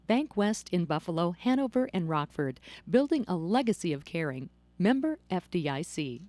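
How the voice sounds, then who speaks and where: A woman's voice: on the low side, sing-song, moderate pace, a little mellow, no room sound. A woman reading a scripted radio commercial as a voice-over announcer.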